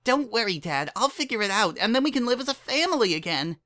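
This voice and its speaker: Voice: medium pitch, big pitch swings, fast, pushed and bright, voice pushed up. A narrator voicing a young pony mare, a hurt but hopeful daughter, emotional and pleading, in a dramatic story reading. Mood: pleading, desperate.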